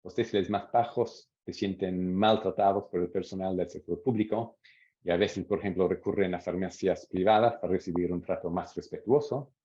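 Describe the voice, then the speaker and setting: A man presenting research at an academic seminar, reading out quotes from interviews in a measured way. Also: low-pitched, steady tone, mellow. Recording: dull lo-fi audio.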